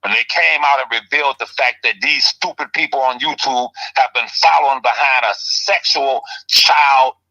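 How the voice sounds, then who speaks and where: A man hosting a heated call-in talk show, ranting with passion and swinging between loud outbursts and low, pressing remarks. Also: gravelly, highs cut off. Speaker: commentary show host.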